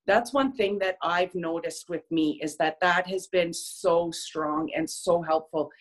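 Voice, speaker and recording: A woman's voice: fairly low pitch, fairly even intonation, measured pace. A woman casually sharing personal, motivational reflections with a group in a candid, conversational way.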